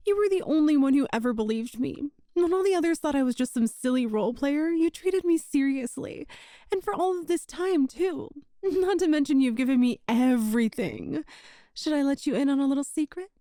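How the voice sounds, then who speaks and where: A high, mellow-voiced yandere witch, acted by a woman in an intimate ASMR roleplay. She is sweet, coy and possessive, treating sinister ideas as breezy small talk. The sound is very dry and close. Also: expressive, normal speed, no room sound. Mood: adoring. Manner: cutesy, coy, breezily matter-of-fact about sinister things. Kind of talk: ASMR roleplay acting addressed to the listener.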